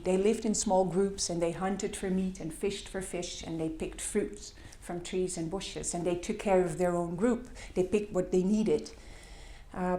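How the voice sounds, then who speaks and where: A woman's voice: on the low side, dark tone. A middle-aged woman with a Dutch accent, not speaking her first language, giving a conference talk with slides in a hesitant way, with many fillers. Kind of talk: presentation with slides. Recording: echoey hall.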